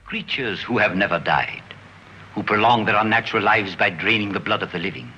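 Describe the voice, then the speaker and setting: Deep and solemn, a man playing a scholarly vampire hunter lays out the nature of the undead. The voice has a bright edge, heard through muffled old film sound. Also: a little hiss or hum. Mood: grave. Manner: solemn, measured explaining.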